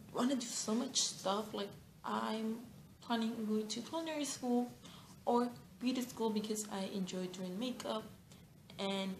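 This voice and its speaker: A woman's voice: medium pitch, echoey room, slight background noise. An eighteen-year-old woman with a Mexican Spanish accent, a native Spanish speaker, chatting casually to camera with lots of fillers, restarts and giggles. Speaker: beauty vlogger. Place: small bright room indoors.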